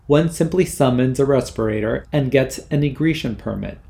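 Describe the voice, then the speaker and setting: Male reader doing a haughty, fussy mother, indignant and superior, gravelly. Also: mid-range voice, moderate pace, warm tone, close to an everyday voice. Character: prim haughty middle-aged mother, machine-devoted.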